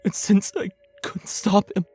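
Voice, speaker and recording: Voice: a little slow, warm tone, faint music behind, lightly acted. A performer voicing a grieving, earnest schoolboy forcing out his words in an anime fan dub. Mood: pleading. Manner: strained and pleading.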